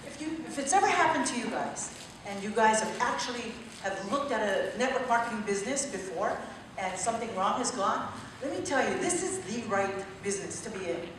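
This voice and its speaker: A woman from Maui speaking with lively energy and rallying the crowd, her voice slightly high. She gives her testimonial in a big hall, heard from a distance with heavy echo and steady noise behind her. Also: brisk. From Maui, Hawaii. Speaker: speaker at a business event. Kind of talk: motivational testimonial speech. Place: large event room. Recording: echoey room from far off, light noise.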